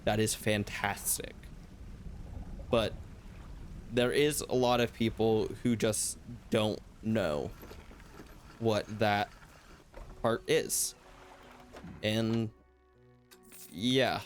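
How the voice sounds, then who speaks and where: A man's voice: fairly low pitch, very slow, long pauses, faint music behind. A young man hosting a solo talk show, rambling loosely and reflectively, his voice slightly worn from hours of talking. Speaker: podcast host.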